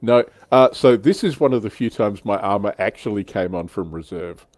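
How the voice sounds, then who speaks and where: A man's voice: on the low side, normal speed, darkish tone, no room sound. A man chatting casually as he recounts tabletop wargame battles, somewhat hesitant as he pieces his thoughts together.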